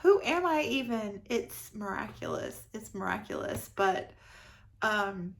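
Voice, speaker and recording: Unhurried, enthusiastic woman chatting about books. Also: medium pitch, animated, gravelly, a bit bright. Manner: enthusiastic, effusive, repeats words for emphasis. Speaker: book vlogger. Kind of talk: casual book review chat.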